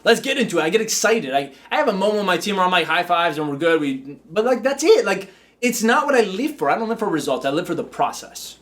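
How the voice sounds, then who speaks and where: A man's voice: high voice, big pitch swings, fast talker, fairly bright tone, slight background noise. A man hosting a coaching show, talking in a confident, upbeat and joking way. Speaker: business coach and show host. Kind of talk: motivational coaching talk.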